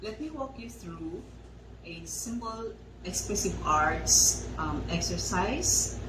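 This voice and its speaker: A woman with a Filipino accent giving an instructional radio lesson to students, speaking in deliberate short phrases and guiding them with direct instructions. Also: lowish voice, expressive, background noise. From Philippines. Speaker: teacher hosting a school-on-the-air radio lesson.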